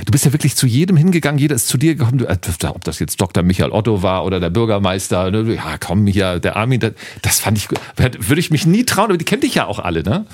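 A man's voice: lowish voice, very animated, fast, few pauses, no echo. A man hosting a podcast in a casual, friendly way, tossing questions and tag questions to his guest. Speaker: podcast host. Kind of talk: casual interview chat. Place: studio, in a room with the guest.

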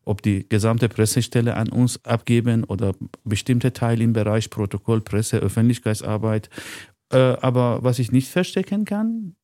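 A man speaking German as a second language, answering interview questions in a halting way with many pauses and fillers. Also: medium pitch, expressive, warm tone, no room sound, clean studio sound. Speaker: interview guest. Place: studio, in a room with the host.

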